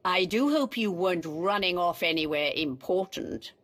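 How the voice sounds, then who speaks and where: A woman's voice: fairly low pitch, animated, normal speed, lightly acted. A woman playing a haughty, commanding Sith Empress in a scripted video game, speaking formally. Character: imperious Sith Empress demanding answers.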